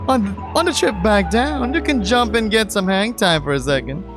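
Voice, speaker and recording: A big, cheerful young man bursts with eager energy as he shows off the sights, voiced by a man. His voice sits well above a natural speaking register and swings up and down with excitement. He sounds bubbly and keen to please. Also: high voice, normal speed, music in the background, voice pushed up. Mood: excited.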